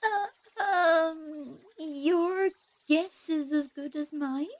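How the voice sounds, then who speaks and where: A woman's voice: very high voice, very slow, exaggerated voice. A young woman playing a defensive, self-deprecating botany student in an audio drama.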